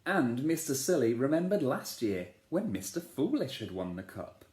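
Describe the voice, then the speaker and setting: Man reading a children's story, playful, very lively pitch, echoey room. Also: normal speed. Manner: playful, expressive, asks the listener questions.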